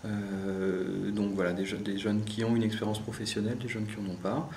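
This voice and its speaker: Low-voiced man in an echoey room. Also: flat delivery, normal speed, a little mellow. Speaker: youth organisation representative. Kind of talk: spoken interview explanation. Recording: roomy echo, faint background noise.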